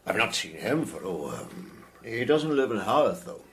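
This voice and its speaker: A guarded, grieving old clergyman in a period radio play. His low-pitched voice rises and falls widely, with measured, courteous and hesitant phrasing. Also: big pitch swings, a bit bright, slight background noise. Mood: courteous, reserved. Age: older. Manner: hesitant, measured, formal wording. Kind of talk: period radio drama acting.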